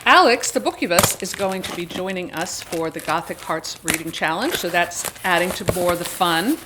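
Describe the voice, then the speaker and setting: A woman's voice: on the low side, moderate pace, heavy background noise. A woman hosting a book vlog, chatting casually and expressively and sometimes reading aloud.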